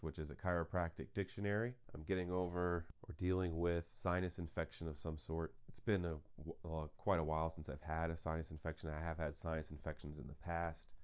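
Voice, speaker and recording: A man's voice: deep voice, clean tone, lo-fi sound. A man hosting his podcast alone, speaking with sinus congestion in an earnest, repetitive explaining manner. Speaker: podcast host. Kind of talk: solo podcast monologue.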